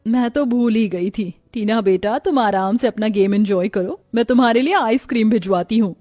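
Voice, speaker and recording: A woman's voice: a little high, normal speed, warm tone, dry recording, studio quality, close to an everyday voice. A woman playing a doting wealthy mother in a cartoon story, fussing and coaxing. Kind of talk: Hindi cartoon moral story.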